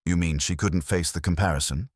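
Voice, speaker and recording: A man's voice: deep voice, brisk, slightly rough, dry recording, clean studio sound. A man voicing a wry, needling detective's assistant in a storybook reading. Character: wry, quick-witted male detective's assistant and sidekick.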